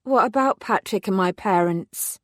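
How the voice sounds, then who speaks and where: A woman's voice: mid-range voice, normal speed, no echo, studio quality, natural voice. A woman voicing a careful, determined young woman police officer in a read-aloud story. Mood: guarded.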